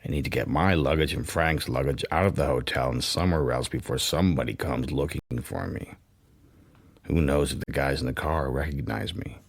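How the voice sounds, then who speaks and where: A man's voice: rumbling low, moderate pace, creaky, exaggerated voice. An actor with an American accent playing a controlled, determined, secretive man who also narrates a radio drama. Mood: detached, brooding. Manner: controlled, insistent. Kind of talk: radio drama acting with first-person narration.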